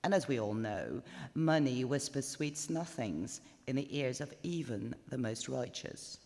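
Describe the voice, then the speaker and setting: A woman's voice: a bass voice, expressive, a little slow, mellow, pitched below the performer's own voice, full character voice. A woman voicing a pedantic, skinny moustached man who lectures and digresses pompously, in a live story reading. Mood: lecturing, knowing. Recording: live in echoey stone chapel, long reverb.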